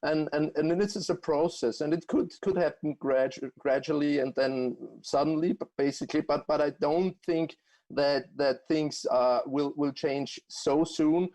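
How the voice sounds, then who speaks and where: A man with an Austrian accent, speaking English as a second language after German, answers as a guest economist. His voice sits a little high for a man. He talks haltingly and often stops to restart a phrase. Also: fairly even intonation, a little mellow, rough recording. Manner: halting, many fillers and restarts. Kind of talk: remote interview answers.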